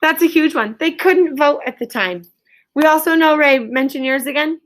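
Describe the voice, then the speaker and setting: A woman's voice: high voice, moderate pace. A woman teaching an elementary class in a question-and-answer style, directing pupils and repeating their answers back to confirm them.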